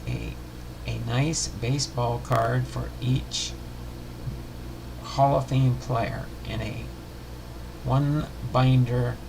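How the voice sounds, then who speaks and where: A man hosting a livestream, talking casually and reading aloud in a halting way with uneven phrasing. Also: medium pitch, lively intonation, vocal fry, noisy background. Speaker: host of a baseball biography livestream.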